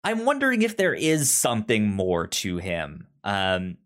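Slow, animated, hesitant podcast co-host. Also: deep voice. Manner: hesitant, repeats words and syllables. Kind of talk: casual book discussion chat.

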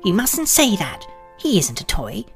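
A woman's voice: mid-range voice, huge pitch swings, a little slow, faint music behind. A woman playing a kind-hearted little boy, earnest and insistent, in storybook readers' theatre. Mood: pleading, insistent.

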